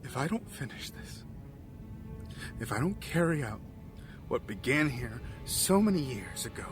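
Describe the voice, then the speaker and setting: An actor playing an embittered, obsessive villain in an audio drama, speaking in a grandiloquent, theatrical way. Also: male voice, mid-range voice, big pitch swings, a little slow, over music. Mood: bitter, pleading.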